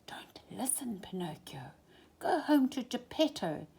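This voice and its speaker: A tiny male storybook companion acted by a woman, earnest and cautioning. His urgent pleading moves up and down a lot in pitch. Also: very animated, a little slow, vocal fry, mellow, husky, heavily acted. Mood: warning, hushed. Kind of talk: children's storybook character voice.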